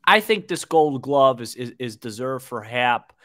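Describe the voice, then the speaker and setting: A man in his twenties chats about baseball as a podcast co-host. He speaks casually and haltingly, often doubling back on words. The sound is dry and close on a desk mic, with a mid-range voice. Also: mid-range voice, lively intonation, fairly bright tone, no room sound. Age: 20s. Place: small indoor room, video call. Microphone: desk microphone, close.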